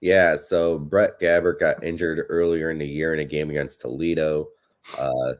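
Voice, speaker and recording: A man's voice: extremely low pitch, darkish tone. A man with an American accent co-hosting a sports show, chatting casually and conversationally about college football in a rambling way.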